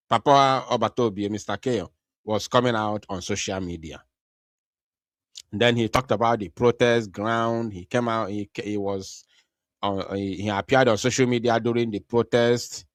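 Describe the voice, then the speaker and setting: A man's voice: mid-range voice, fairly bright tone, no echo, clean studio sound. A man hosting a live online talk show over an internet connection, speaking earnestly, repeating his points and hesitating often. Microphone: computer mic over internet call.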